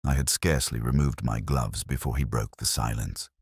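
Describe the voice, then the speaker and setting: Male audiobook narrator, extremely low register, lively intonation, dramatic literary delivery, dry close sound. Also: moderate pace, breathy edge, no echo.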